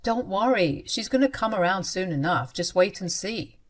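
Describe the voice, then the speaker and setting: A woman voicing a young husband torn between his wife and his mother, speaking in a soft, pleading way. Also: mid-range voice, animated, normal speed, natural voice. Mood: soothing.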